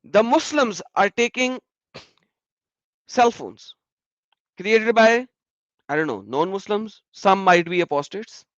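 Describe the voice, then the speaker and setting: A man hosting a casual discussion, animated and repeating his phrases. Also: high-pitched, takes it slowly, long pauses, no echo. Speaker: host. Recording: muffled lo-fi sound.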